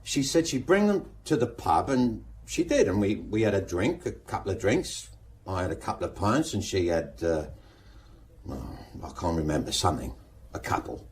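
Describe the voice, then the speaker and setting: An actor playing a nervous, evasive suspect in a radio drama police interview, halting and stumbling over his words. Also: low voice, big pitch swings. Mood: hesitant, defensive. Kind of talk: naturalistic radio drama acting.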